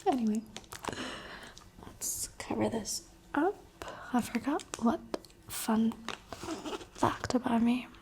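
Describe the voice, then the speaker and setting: Young woman ASMR creator from the UAE, soft unvoiced whisper, chatty and candid, faint hiss behind. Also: a little high, slow pace, pauses often.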